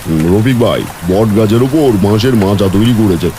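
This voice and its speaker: An actor voicing a stubborn village husband in a cartoon, who talks in a sardonic, grumbling way. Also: male voice, lowish voice, lively intonation, a little fast, natural voice. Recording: rain noise behind.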